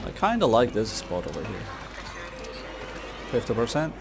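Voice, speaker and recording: A man's voice: on the low side, very animated, moderate pace, noisy background. A man giving casual gameplay commentary, reacting with exclamations and mild swearing. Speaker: gaming video creator. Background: game music and sound effects.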